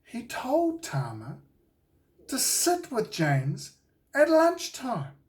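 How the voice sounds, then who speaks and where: A man with a New Zealand accent reading a children's storybook aloud in a deliberate, expressive storytelling way. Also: extremely high pitch, far from the mic. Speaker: children's author reading his own book. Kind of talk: scripted storybook narration for children.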